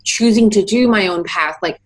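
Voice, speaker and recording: A young woman in her twenties, born in Taiwan, speaks as a musician guest in a relaxed interview. Her voice sits in an ordinary middle pitch, and her speech is loose and rambling, full of fillers and easy laughter. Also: normal speed, poor audio. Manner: chatty, many fillers, laughs often. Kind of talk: casual interview chat.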